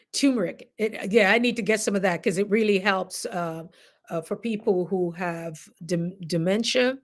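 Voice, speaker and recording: A woman's voice: mid-range voice, a little slow. A woman with a Jamaican accent hosting a casual livestream, talking directly to viewers in a conversational, encouraging way. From Caribbean, Jamaica. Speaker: livestream host, coach.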